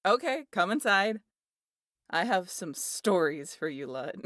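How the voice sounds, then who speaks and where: An actress playing a brash, playful, muscular aunt in an audio roleplay, with slangy, boisterous teasing. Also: female voice, on the low side, sing-song, takes it slowly, fairly bright tone, no room sound, studio quality, full character voice. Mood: brash, amused.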